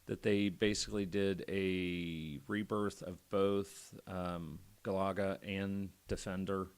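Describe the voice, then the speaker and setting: A man on a podcast panel, reminiscing about old games in a relaxed way. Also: low voice, slow, clear, resonant tone. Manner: nostalgic, relaxed. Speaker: podcast guest. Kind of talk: casual chat.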